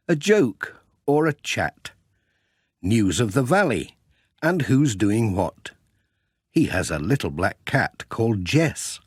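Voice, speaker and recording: Male children's audiobook narrator: slow, husky, lilting. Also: no echo, studio quality. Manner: gentle, sing-song, lively pitch. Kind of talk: scripted storybook narration.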